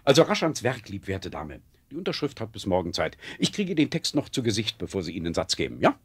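Radio-play editor, middle-aged, theatrical and teasing, very animated, dry close sound. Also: male voice, fairly low pitch, brisk, husky, no echo, full character voice. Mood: teasing, brisk. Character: jovial, bossy male magazine editor, middle-aged. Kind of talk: German radio play acting.

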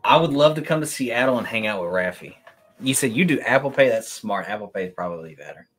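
A man in his thirties talks loosely to his livestream audience, answering chat questions as they come. His voice sits on the low side, easygoing and unhurried. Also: husky, faint music behind. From Kentucky. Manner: relaxed, chatty, answers chat questions on the fly. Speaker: sneaker livestream host. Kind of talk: casual livestream chat reading viewer comments. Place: room with shelves of sneakers, indoors.

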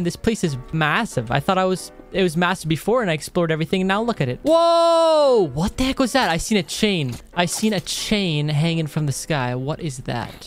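A young man giving casual, excitable let's-play commentary in a chatty way, close on a studio microphone. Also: a little high. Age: young adult. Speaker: gaming video host. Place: indoor room at a desk. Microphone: close dynamic studio microphone.

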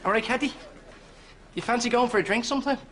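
An actor playing a dim, crude Irish bachelor in a sitcom, cheerfully blunt and unfazed. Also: male voice, extremely high pitch, fast, a bit bright. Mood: cheeky. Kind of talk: sitcom acting. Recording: noisy studio sitcom audio.

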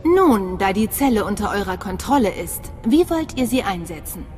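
An actress playing a cool, professional imperial secret agent in a sci-fi game, speaking in a controlled, formal way. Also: female voice, medium pitch, big pitch swings, normal speed, a little mellow, soft background music, natural voice. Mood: cool, businesslike. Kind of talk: dramatic sci-fi game dialogue.

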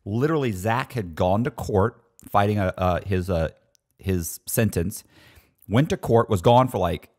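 Low-voiced man hosting a chat. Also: darkish tone. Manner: quick interjecting, recapping the story. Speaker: podcast host. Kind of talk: casual interview chat. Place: small studio with foam panels. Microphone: boom mic on table.

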